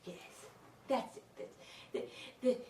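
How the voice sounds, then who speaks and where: A woman playing a wistful, dreamy villager mourning a lost world in a halting, melodramatic improvised monologue. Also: mellow, slight background noise, close to an everyday voice. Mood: mournful. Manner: halting, drawn-out, melodramatic delivery with repeated phrases. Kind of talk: improvised theatrical monologue at a puppet rehearsal. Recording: roomy echo, distant microphone.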